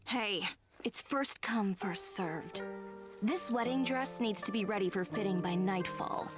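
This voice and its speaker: An actress playing a poised, sharp-tongued young woman tailor with quiet, mock-innocent menace, in a game trailer over music. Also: medium pitch, unhurried, close to an everyday voice. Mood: brisk, composed. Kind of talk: English game trailer voice acting. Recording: loud music behind the voice.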